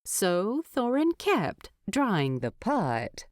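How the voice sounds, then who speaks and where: Unhurried woman narrating fiction, dry sound. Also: medium pitch, huge pitch swings, dry recording. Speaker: audiobook narrator.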